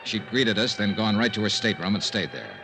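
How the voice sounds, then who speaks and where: An actor playing a hard-boiled, dryly wisecracking investigator in an old-time radio mystery. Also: male voice, fairly low pitch, animated, brisk, music in the background. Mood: suspicious. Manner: dry, wisecracking. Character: wry, hard-boiled male investigator narrating his case. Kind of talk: 1950s radio detective acting and narration.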